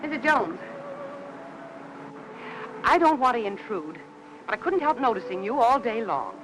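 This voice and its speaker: An actress playing a kindly, solicitous neighbor woman in a mid-century film noir, speaking in a gentle, concerned way. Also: on the high side, very animated, unhurried, background noise.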